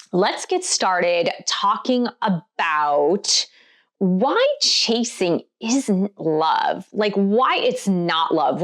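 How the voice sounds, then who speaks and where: A middle-aged woman with a slightly hoarse voice, hosting her own author vlog and speaking candidly and reflectively about her past relationships. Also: medium pitch. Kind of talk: personal vlog talk to camera.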